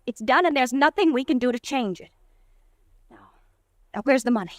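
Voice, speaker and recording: A woman's voice: sits high, no room sound, studio quality, natural voice. An actress playing a practical, firm girlfriend steadying her partner in an old radio drama. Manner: brisk, firm, reassuring. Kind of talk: 1940s radio acting.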